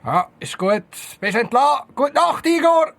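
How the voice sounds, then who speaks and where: A man with a Swiss German accent playing a bossy, excitable, easily spooked detective chief in a comic audio drama. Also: very high-pitched, moderate pace, gravelly, no room sound, full character voice. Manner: blustering, defensive, jumpy.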